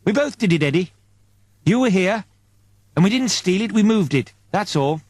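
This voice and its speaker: A man's voice: high voice, lively intonation, normal speed, darkish tone, no echo, clean studio sound, voice pushed up. A man voicing a serene, knowing female angel in an audiobook, coaxing with patient, assured phrases. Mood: earnest, assured.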